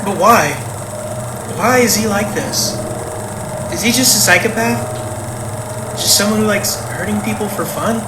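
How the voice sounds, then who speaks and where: A man's voice: sits high, measured pace, pauses often, roomy sound, distant mic, background noise. A man acting in an audio play as a wary, exasperated young brother, blunt and argumentative. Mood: incredulous.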